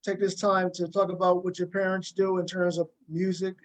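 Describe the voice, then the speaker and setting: A man with a high-pitched voice leads a casual cast interview as host. He sounds supportive and encouraging as he talks. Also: little pitch movement, normal speed, poor audio. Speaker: host leading a cast discussion.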